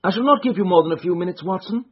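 A man's voice: high voice, animated, racing, voice pushed up. A man doing a cool, courteous detective. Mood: cool, polite. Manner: smooth, courteous, coolly polite. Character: calm, polite, clever male detective. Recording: muffled lo-fi recording.

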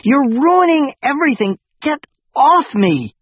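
A man's voice: high-pitched, sing-song, moderate pace, breathy edge, dull audio, pitched above the performer's own voice, exaggerated voice. A man voicing a secretive, desperate young boy in an audiobook, defensive and agitated. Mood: defiant.

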